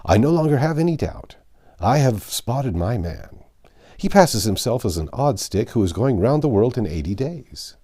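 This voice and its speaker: A man voicing a shrewd, suspicious detective in a storybook, politely prodding with measured questions. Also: mid-range voice, sing-song, dark tone, voice pushed up. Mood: convinced. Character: shrewd, suspicious male detective, probing slyly.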